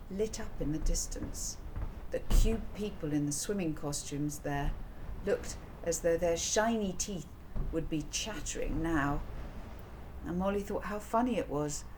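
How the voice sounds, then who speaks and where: A woman reading a children's novel aloud in a measured, expressive storytelling style. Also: on the low side, poor audio. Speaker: author reading her own children's novel. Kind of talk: audiobook storytelling narration. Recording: clear background noise.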